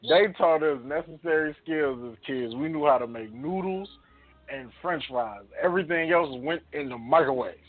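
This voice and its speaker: A man with an American accent chatting casually in slang, telling anecdotes in an animated way. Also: on the high side, moderate pace. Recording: thin phone-like audio.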